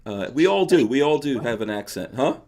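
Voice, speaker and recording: This is a man with a New York accent who hosts a live podcast, talking in an easygoing, joking way. He laughs often. His voice sits at a middle pitch for a man. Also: big pitch swings, a little fast, a little mellow. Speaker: podcast host. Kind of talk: casual livestream chat.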